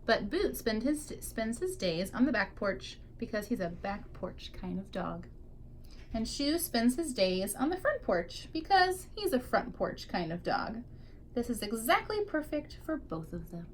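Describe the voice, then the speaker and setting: A woman's voice: a little high, big pitch swings, a little slow, slight background noise. A woman teacher reading a picture book aloud expressively to young children, drawing out key words for emphasis.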